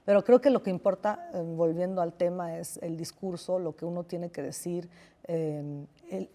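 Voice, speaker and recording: Escritora italiana de voz grave y cálida. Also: female voice, fairly low pitch, normal speed, dark tone. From Italy. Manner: thoughtful, hesitant, many restarts and fillers. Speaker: novelist guest. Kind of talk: television interview.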